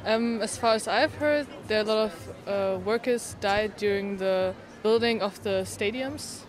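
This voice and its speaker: A woman's voice: medium pitch, measured pace. A young woman answering a street interviewer, giving her opinion earnestly with plenty of fillers. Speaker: passer-by interviewee. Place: city street outdoors. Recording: faint street noise outdoors.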